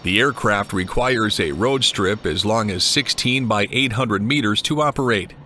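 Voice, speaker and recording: A man reading a scripted documentary narration in an even, measured way. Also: lowish voice, a little hiss or hum. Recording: voice-over with clear music behind.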